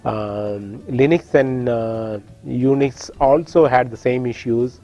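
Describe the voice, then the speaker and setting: A man from India with an Indian accent, teaching a course and explaining technical points with frequent pauses and restarts in a classroom. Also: medium pitch, mellow, highs cut off, soft background music. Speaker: course instructor. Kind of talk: classroom lecture.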